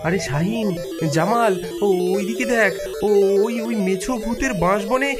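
A man plays an excitable cartoon villager hungry for viral fame. The voice is pushed high, and loud music plays along with it. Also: normal speed, lightly acted. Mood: excited, alarmed. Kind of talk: animated cartoon voice acting.

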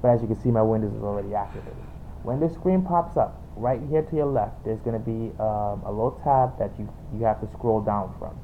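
A man with a Trinidadian accent giving an informal, halting software tutorial, explaining off the cuff and repeating himself. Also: fairly low pitch. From Trinidad and Tobago. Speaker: tutorial presenter. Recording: tinny phone-like home recording, background noise.

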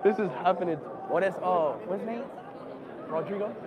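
A man's voice: high voice, moderate pace, muffled recording. Hyped young American man in a noisy crowd. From United States. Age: young adult. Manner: loud, hyped, slangy, talks over people. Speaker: streamer. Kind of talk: excited livestream chatter. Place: crowded indoor gala hall. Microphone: small lapel mic. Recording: loud crowd chatter around.

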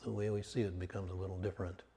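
A man leading a guided meditation as a teacher, speaking calmly and off the cuff in short, measured phrases with long pauses. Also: low-pitched. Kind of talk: guided meditation, spoken slowly and unscripted. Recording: long reverberant hall sound.

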